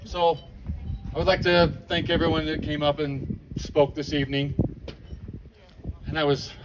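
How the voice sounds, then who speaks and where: A middle-aged man with a loud, informal way of talking, emceeing a memorial event on an outdoor stage. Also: fairly high pitch, fairly even intonation, a little slow. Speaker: ski patrol emcee. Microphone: handheld mic, filmed from the audience. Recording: distant phone capture with background noise.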